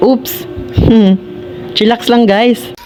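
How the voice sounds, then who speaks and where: A woman's voice: fairly high pitch, fairly even intonation, extremely slow, mellow. A woman with a Filipino accent playing a carefree, apologetic sound engineer in a comedic radio drama. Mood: sheepish. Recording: noisy recording with clicks.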